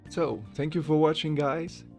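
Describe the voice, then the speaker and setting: A man's voice: on the high side, fairly even intonation, a little slow, faint music behind. A man giving casual, self-deprecating commentary to his viewers in a hesitant, giggly way. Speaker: voice challenge video creator.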